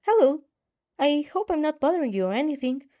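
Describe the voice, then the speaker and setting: A woman's voice: high-pitched, big pitch swings, brisk, dark tone, muffled recording, voice pushed up. A woman voicing a polite, eager teenage boy in a story, tentative but upbeat. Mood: shy, friendly.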